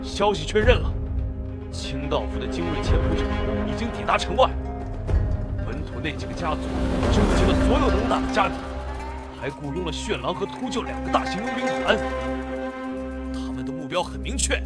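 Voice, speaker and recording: A man playing a brash, rough-talking fighter briefing troops in a motion-comic drama, with an emphatic, swaggering delivery. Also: sits high, very animated, normal speed. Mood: serious. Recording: loud music under the voice. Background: loud music.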